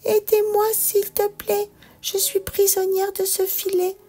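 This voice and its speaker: A woman's voice: extremely high pitch, monotone, measured pace, dark tone, voice pushed up. A woman voicing a tiny, sweet fairy girl from a children's storybook, imploring and grateful. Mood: pleading.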